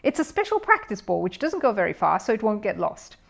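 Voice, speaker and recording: A woman's voice: mid-range voice, big pitch swings, fairly quick, gravelly. Casting: woman reader as earnest, well-mannered young boy bear, determined, children's storybook. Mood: kindly, earnest. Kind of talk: children's storybook character reading.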